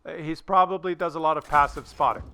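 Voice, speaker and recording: A man giving casual gameplay commentary and coaching in a rambling, halting way, often repeating himself. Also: a little high, creaky, pushed and bright, clear, resonant tone. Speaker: gaming video host and commentator.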